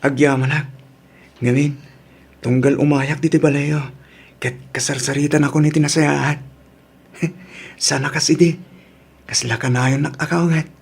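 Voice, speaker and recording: Husky, even-toned young Filipino suitor. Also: male voice, a little high, a little slow, long pauses, exaggerated voice. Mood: hopeful. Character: gentle, devoted young male suitor, patient. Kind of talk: Ilocano radio drama acting.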